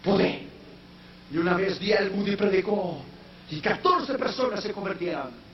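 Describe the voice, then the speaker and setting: A man preaching a sermon in a language that is not his first, slipping in English phrases and rising into shouted, sung-out peaks. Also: high voice, expressive, normal speed. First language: not Spanish. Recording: echoey, distant, dull sound with faint noise.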